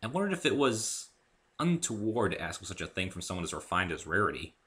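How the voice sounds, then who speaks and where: A man reads a story aloud in a low, bright voice. His delivery is dramatic and very animated, with lots of rise and fall as he narrates. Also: fast. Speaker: amateur author reading own story aloud.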